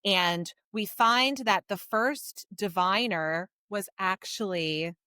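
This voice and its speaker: Woman, podcast co-host, bright crisp voice, casual storytelling, conversational. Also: medium pitch, slow pace, dry recording, studio quality. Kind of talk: casual book discussion, recapping a story.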